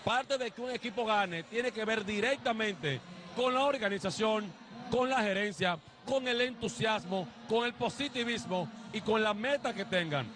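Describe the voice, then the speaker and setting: A Dominican man in his thirties with a very high voice, talking loud and energetic as a street TV reporter. He holds a handheld mic on an outdoor court, and constant noise hums behind him. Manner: loud, energetic, drawn-out emphasis. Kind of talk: live on-location TV interview. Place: outdoor neighborhood basketball court. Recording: noisy crowd outdoors.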